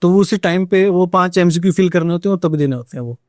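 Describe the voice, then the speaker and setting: Higher-voiced man explaining informally. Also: fast, darkish tone, no room sound, studio quality. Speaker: air traffic controller being interviewed.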